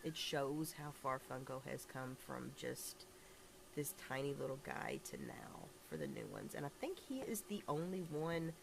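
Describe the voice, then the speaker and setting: Low, gravelly woman getting over a cold. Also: sing-song, moderate pace, background noise. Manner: rambling, enthusiastic, many fillers and restarts. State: raspy from recent illness. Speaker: toy collector vlogger. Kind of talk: casual toy review to camera. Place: indoors at a table at home. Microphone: camera filming hands and toys, speaker off screen.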